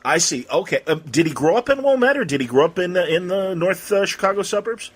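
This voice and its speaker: An American man from Illinois hosting a casual interview, enthusiastic and informal, often halting as he works toward his point. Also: sits high, expressive, breathy edge. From Illinois, United States. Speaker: podcast host and interviewer.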